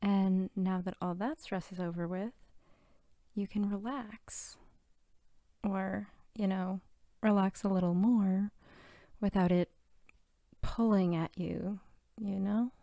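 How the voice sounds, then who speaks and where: Soft, slow-talking bookish girlfriend, played by a woman. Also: medium pitch, steady tone, dry recording, clean studio sound. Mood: cozy, affectionate. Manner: soft-spoken, often near whisper, giggly, teasing. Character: sweet, bookish, playful archivist girlfriend at home. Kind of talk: intimate narrative ASMR roleplay.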